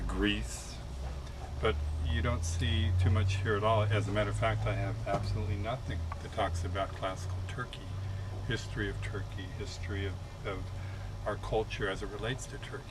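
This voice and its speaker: Low-voiced librarian man, noisy background. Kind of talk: explanatory chat.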